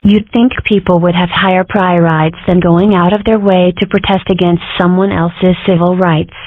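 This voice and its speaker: A woman's voice: lowish voice, steady tone, moderate pace, dry recording, lightly acted. A woman voicing an earnest, opinionated animal character in a comic dub, speaking in declarations. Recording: dry, phone-like narrow sound.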